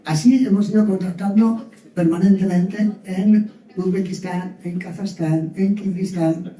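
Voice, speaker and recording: A man's voice: high-pitched, fairly even intonation, unhurried, mellow. A man from Spain, telling travel stories to a group in a chatty way and acting out little dialogues. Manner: chatty storyteller who acts out little dialogues, laughs often. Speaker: speaker sharing travel experiences. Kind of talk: informal talk to a group. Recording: distant mic in an echoey room.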